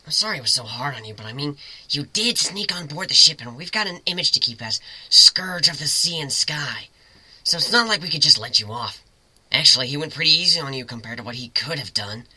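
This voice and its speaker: A woman acts a tough female griffin pirate, breezy and blunt but kind. The voice is very bright and forceful, recorded close and dry for a dramatic story reading. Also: sits low, animated, brisk, breathy edge, dry recording, exaggerated voice, poor audio. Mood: reassuring, frank.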